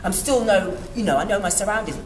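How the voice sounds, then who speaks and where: Panelist: forceful, passionate woman, roomy echo, noisy room. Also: mid-range voice, fast. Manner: emphatic, passionate, animated. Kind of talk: panel discussion. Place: room at a youth forum event. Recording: echoey room with background noise.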